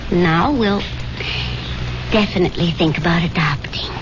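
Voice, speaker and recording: An actress playing a caring, worried wife in a 1970s radio drama, with a tender, coaxing manner. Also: female voice, fairly low pitch, moderate pace, lightly acted. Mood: tender, reassuring. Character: caring, worried young wife, patient and soothing. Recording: dull vintage radio recording, music behind.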